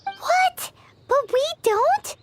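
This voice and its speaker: A little cheeky sidekick from a video game. The voice is extremely high and childlike, bouncing widely in pitch, with clear background noise and birdsong. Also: female voice, animated, extremely slow, exaggerated voice. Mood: startled.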